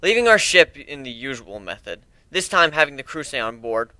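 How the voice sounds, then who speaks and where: Man, amateur dramatic narration, first-person storytelling, forceful tone, animated intonation, dry sound. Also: medium pitch, moderate pace, dry recording.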